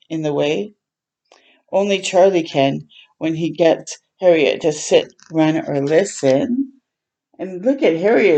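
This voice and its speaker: A woman hosting a children's storytime, reading a picture book aloud in a gentle, expressive way with tender asides to listeners. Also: fairly low pitch, lo-fi sound. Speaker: children's read-aloud host.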